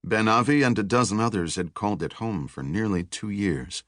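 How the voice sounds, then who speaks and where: Low-pitched man narrating a thriller. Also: normal speed, a bit bright, no room sound, clean studio sound. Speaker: audiobook narrator.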